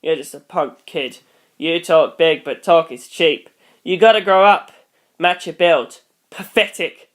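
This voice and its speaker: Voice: mid-range voice, measured pace, lightly acted. A reader voicing a stubborn, blunt, tough-talking fighter who scolds, in an action story. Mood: scornful.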